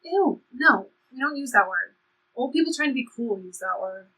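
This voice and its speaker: A woman's voice: on the high side, expressive, normal speed, pushed and bright, breathy edge, exaggerated voice, rough recording. A woman playing a blunt, impulsive seventeen-year-old girl in a stage play reading. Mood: blunt, impatient. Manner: blunt, impulsive teenage speech.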